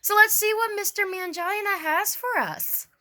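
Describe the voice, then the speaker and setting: A woman's voice: extremely high pitch, normal speed, bright, forceful tone. A woman doing a mock-formal dramatic reading with casual, sarcastic, sweary asides in a theatrical manner. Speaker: online video creator doing a dramatic reading.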